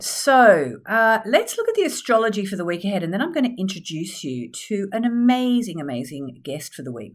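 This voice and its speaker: Slightly gravelly, chatty woman host. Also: low-pitched. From Australia. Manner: chatty, upbeat, with frequent fillers. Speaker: podcast host and astrologer. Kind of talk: casual solo podcast chat.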